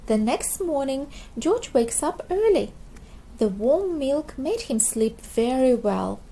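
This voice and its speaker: A woman narrating a children's storybook in a scripted, expressive read-aloud style for very young listeners. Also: sits high, low-quality recording. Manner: slow, expressive delivery for small children.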